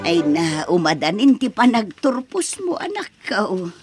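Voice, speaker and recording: Soft, tender poor mother. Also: mid-range voice, big pitch swings, measured pace, over loud music. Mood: tearful joy. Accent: Ilocano. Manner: soft, emotional. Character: tender, tearful, grateful poor mother of graduating daughter. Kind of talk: Ilocano radio drama acting.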